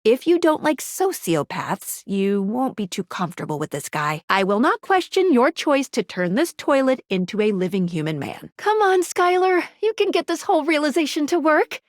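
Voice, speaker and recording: A perky, earnest female helper from a video game. Her voice sits high and swings widely as she quips and encourages. She sounds eager with a nervous edge, in a close, dry studio sound. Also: moderate pace, hardly any pauses, no room sound. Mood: nervous, hesitant. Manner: chipper, quippy, expressive. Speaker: game character guiding the player. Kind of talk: animated video game voice acting.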